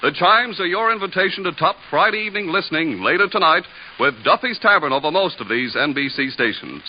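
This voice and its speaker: A male vintage radio announcer reads in a polished, formal way. His fairly high voice rises and falls freely through a dull recording. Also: lively intonation, fairly quick. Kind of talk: 1950s radio announcing, scripted.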